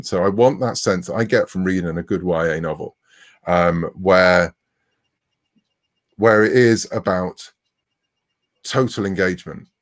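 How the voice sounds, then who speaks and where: A man in his forties speaking conversationally as a writer being interviewed. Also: low-pitched. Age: 40s. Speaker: interviewed writer of comics and novels.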